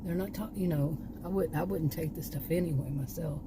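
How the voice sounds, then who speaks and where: Lady vlogging outside, kinda low voice, going hoarse, wanders off mid sentence a lot. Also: brisk, noisy background. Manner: meandering, trails off and restarts. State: losing her voice. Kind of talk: rambling casual chat. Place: outdoors.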